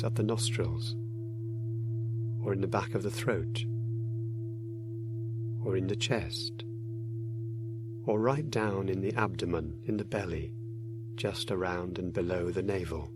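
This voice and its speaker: Deep-voiced man guiding a meditation. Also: very noisy. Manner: gentle, unhurried instruction with many pauses. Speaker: meditation guide. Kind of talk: guided meditation narration.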